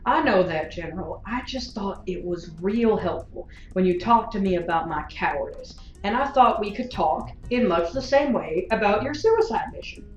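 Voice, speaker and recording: An actress playing a wry, blunt, independent space gunslinger in a science fiction audio drama. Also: female voice, mid-range voice, lively intonation, moderate pace, hardly any pauses, echoey room, faint music behind, natural voice, poor audio. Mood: needling, earnest.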